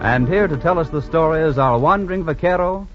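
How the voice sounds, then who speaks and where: A man's voice: fairly high pitch, a little fast, over loud music. A man making formal scripted announcements in the style of a 1940s radio program. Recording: dull, hissy vintage radio recording.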